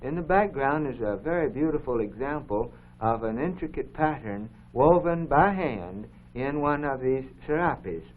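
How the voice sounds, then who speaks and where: A man giving formal, measured explanatory commentary as co-host of an educational program, on a 1950s broadcast recording. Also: medium pitch, lively intonation, narrow, tinny audio, slight background noise. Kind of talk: unscripted explanatory commentary over film footage.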